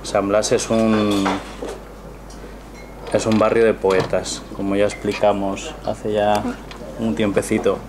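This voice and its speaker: A man giving a measured, reflective presentation of his book before an audience in a small cultural café. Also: fairly low pitch, level tone. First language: not Catalan. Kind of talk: prepared presentation speech with poetry reading. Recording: noisy room ambience.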